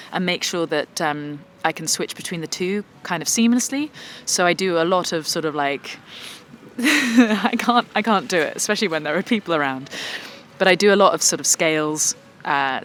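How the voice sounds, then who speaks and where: A young woman with a fairly low voice talks casually through viewer questions in a vlog. She is chatty and self-deprecating, a working guitarist and singer. Faint background noise sits under her voice. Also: a bit bright.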